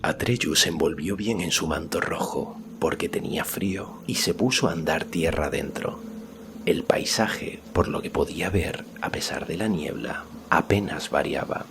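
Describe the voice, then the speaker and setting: A man narrating a scripted fantasy story as an audiobook, with a measured, suspenseful delivery and a gravelly voice. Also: extremely low pitch, background noise. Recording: music behind the voice.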